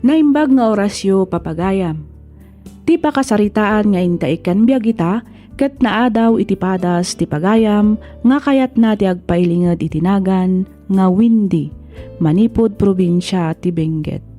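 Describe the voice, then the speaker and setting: Mellow Ilocano woman narrating a drama. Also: medium pitch, a little slow, faint music behind. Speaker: radio drama host and narrator.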